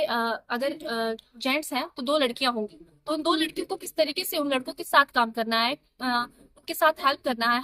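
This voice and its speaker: High, bright young Indian woman. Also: no room sound, low-quality recording. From Himachal Pradesh, India. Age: young adult. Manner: mixes English words and phrases into speech. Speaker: merchant navy trainee. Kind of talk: spoken testimonial to camera.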